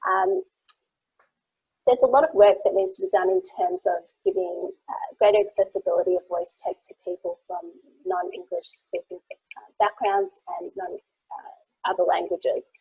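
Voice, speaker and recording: A woman's voice: medium pitch, sing-song, normal speed, gravelly, dark tone, slightly rough, no room sound, rough recording. A woman moderating a webinar panel on a video call, enthusiastic and full of praise, with many fillers.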